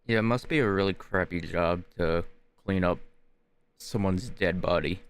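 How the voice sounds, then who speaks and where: Low-voiced man casually streaming. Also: moderate pace, smooth. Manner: rambling, offhand. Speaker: game streamer. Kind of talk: casual gameplay commentary. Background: game music.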